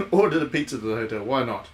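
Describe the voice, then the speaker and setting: A man's voice: medium pitch, fairly quick. A man giving casual, chatty commentary as he plays through a game on a livestream, musing aloud as he goes. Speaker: game streamer. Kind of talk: casual live visual novel playthrough commentary. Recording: steady background noise.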